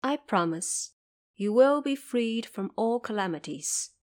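A woman voicing a serene, merciful goddess who speaks formally and with calm authority in an audio drama. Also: on the high side. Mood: solemn promise. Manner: formal, measured, assured. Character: serene, merciful female Bodhisattva, authoritative divine being.

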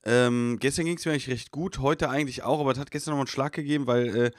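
A man's voice: medium pitch, steady tone, rapid-fire, no room sound, studio quality. A man with a cold co-hosting a podcast, rambling casually with lots of fillers. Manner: rambling, filler-heavy talk. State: has a cold. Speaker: podcast co-host. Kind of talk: casual chat.

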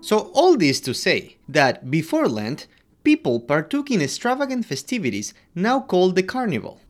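A man from Ecuador co-hosting a podcast, reading a scripted history segment in an expressive way. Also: normal speed, soft background music. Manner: animated, expressive delivery with wide pitch swings. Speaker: podcast co-host.

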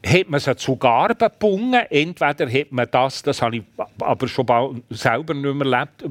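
An older man with a Bernese Swiss German accent, a guest reminiscing and explaining old rural words in casual conversation, with many restarts and tag questions. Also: mid-range voice. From Switzerland. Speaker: guest, writer on dialect.

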